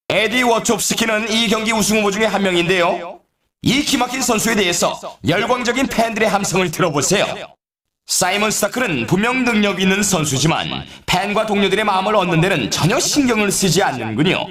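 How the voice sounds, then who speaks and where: An actor playing an excitable, showy snowboard race announcer in a video game, delivering scripted commentary with dramatic rhetorical flair. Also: male voice, high-pitched, a little fast, a crowd in the background. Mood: excited, suspenseful. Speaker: sports announcer. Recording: roomy echo, distant from mic.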